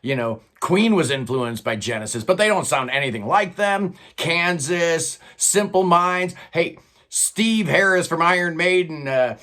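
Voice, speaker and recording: Older male host, slightly high voice, enthusiastic casual countdown patter, home studio. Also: lively intonation, unhurried, pushed and bright. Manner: enthusiastic, loose and chatty, with fillers. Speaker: host of a prog rock channel. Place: record-filled home studio room.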